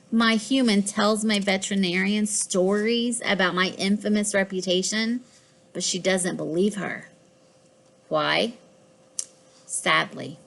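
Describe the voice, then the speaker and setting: A woman voicing a boastful, fussy pet house cat in a children's storybook, with expressive, deliberate delivery. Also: mid-range voice, gravelly. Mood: dramatic dread. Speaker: author reading own children's book. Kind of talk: scripted children's storybook narration.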